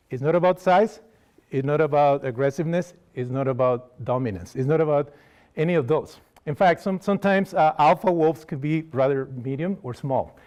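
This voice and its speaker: A man's voice: on the high side, a little mellow. A man from Costa Rica whose first language is Spanish, speaking with a Costa Rican Spanish accent as he gives a conversational public lecture and asks the audience questions. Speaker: wildlife sanctuary educator giving a public talk. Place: lecture hall.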